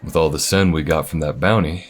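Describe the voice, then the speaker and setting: Man acting a very deep-voiced, folksy alien bounty hunter. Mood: warm, steady. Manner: folksy drawl-like phrasing, teasing, unhurried. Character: easygoing male alien bounty hunter, wry, folksy frontier companion. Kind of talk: audio roleplay addressed to the listener. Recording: restaurant chatter behind. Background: restaurant crowd ambience.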